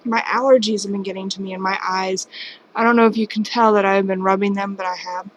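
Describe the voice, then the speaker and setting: A woman's voice: mid-range voice, steady tone, fast talker, dull audio, a little hiss or hum. A woman in her late twenties, talking casually and candidly to her camera at home, rambling and self-deprecating. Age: late 20s. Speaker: vlogger. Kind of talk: casual personal vlog. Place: home at night.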